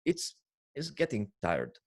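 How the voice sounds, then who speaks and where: A man with a Hungarian accent who chats haltingly in English, searching for words, then performs his own poem as a slam poet. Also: on the low side, huge pitch swings. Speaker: poetry slammer. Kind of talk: casual chat and poetry performance.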